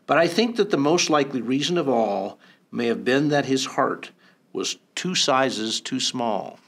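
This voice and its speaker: A man who is a mayor reading a rhyming children's storybook aloud, with an expressive, rhythmic delivery. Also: mid-range voice, a little slow, slight background noise.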